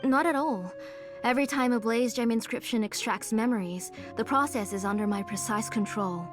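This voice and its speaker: An actress playing a weary, devoted elderly aunt who speaks in a soft, tired, measured way in a video game. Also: female voice, a little high, close to an everyday voice. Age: older. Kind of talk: video game dub acting. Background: game music.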